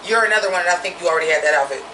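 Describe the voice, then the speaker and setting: A woman with a naturally deep voice giving a blunt, profane, opinionated drag review in a casual vlog. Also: very fast, sharp tone, echoey room, recorded from a distance, a little hiss or hum.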